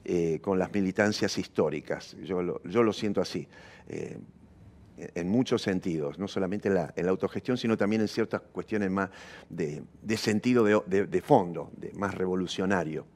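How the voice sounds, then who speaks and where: Male interview guest, Rioplatense Argentine accent, fairly low pitch, reflective, halting conversational delivery. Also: a little mellow. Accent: Argentine Rioplatense Spanish.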